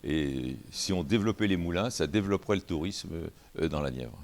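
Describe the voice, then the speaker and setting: A man speaking at a public round table for a mill owners' association, halting and full of restarts. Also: low voice, expressive, very noisy. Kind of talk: panel talk.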